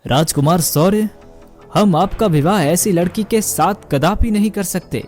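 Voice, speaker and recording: A man's voice: sits high, a little slow, a little mellow, soft background music. A man voicing an authoritative king in a cartoon story, formal and regal. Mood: stern.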